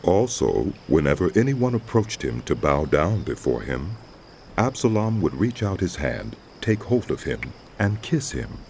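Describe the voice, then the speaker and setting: Very deep gravelly man narrating. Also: sing-song, measured pace, darkish tone, slight background noise. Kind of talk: scripted dramatized scripture narration.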